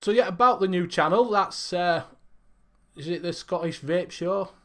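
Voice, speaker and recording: Man, fairly high voice, easygoing host chat, headset mic. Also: moderate pace, sharp tone. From Northern England. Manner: chatty, easygoing. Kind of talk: casual chat.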